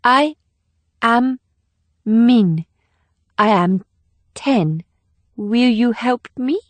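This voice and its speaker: A woman's voice: on the high side, lively intonation, drawn-out words, dry recording. A woman voicing an eager, innocent little girl in audiobook dialogue. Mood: earnest.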